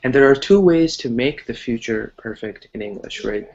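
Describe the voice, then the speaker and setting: A man's voice: medium pitch, expressive, normal speed, a little mellow, highs cut off, a little hiss or hum. An American man teaching an online English class, explaining conversationally and encouragingly, often checking in as he goes. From United States.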